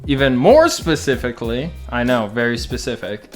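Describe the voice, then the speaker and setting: A man's voice: medium pitch, sing-song, moderate pace. Male podcast host, casual, faint music.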